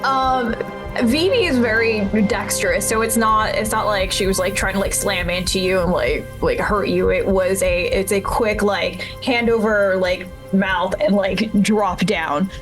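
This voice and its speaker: A woman casually improvising her moves in a tabletop roleplaying game, piecing phrases together haltingly. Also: mid-range voice. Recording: music playing behind the voice.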